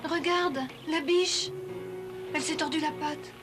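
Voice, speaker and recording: Une comédienne de dessin animé en français qui joue une jeune femme douce et attentionnée. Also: female voice, high-pitched, fairly even intonation, unhurried. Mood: alarmed, reassuring. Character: caring, gentle young woman nursing an injured animal. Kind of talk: French cartoon voice acting. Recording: loud music behind the voice.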